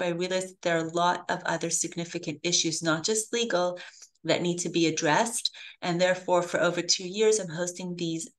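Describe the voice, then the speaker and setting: A woman hosting a talk session as an elder law lawyer, friendly and brisk, steering the conversation. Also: fairly low pitch. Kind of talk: webinar interview hosting.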